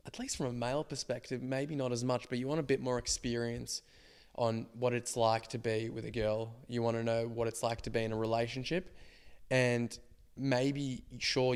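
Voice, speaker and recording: A man in his twenties co-hosting a podcast with a slightly croaky voice, reflecting at length in casual chat. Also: mid-range voice. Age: 20s. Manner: reflective, explains ideas at length. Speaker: podcast co-host.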